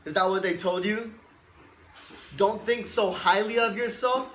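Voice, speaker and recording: A man's voice: sits high, fairly even intonation, a little slow, fairly bright tone, slight background noise. A man in his twenties, a life coach giving a boastful, emphatic motivational monologue and repeating himself for stress. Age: 20s.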